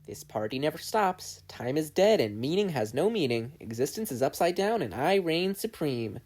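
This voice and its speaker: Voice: mid-range voice, big pitch swings, normal speed, a little mellow, pitched above the performer's own voice. A story narrator voicing a grandiose male demon villain with a boastful, declamatory manner. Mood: gloating.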